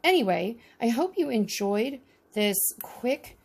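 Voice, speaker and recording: A woman's voice: on the high side, sing-song, slow, creaky, slightly rough. A woman casually narrating a miniature unboxing with chatty, wry asides. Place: indoors at a tabletop.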